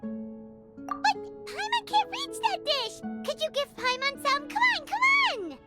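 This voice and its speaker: A woman voicing a tiny, excitable, nosy childlike fairy companion in a video game, with a whiny, exclaiming manner. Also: very high voice, takes it slowly, loud music behind the voice, full character voice. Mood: pleading. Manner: whiny, exclaiming, refers to self in third person.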